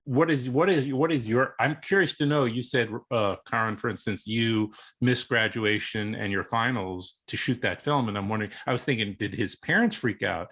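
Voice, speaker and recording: A man's voice: mid-range voice, normal speed, hardly any pauses, fairly bright tone. A middle-aged man hosting an interview, asking rambling, meandering questions full of restarts. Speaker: interview host. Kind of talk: casual interview.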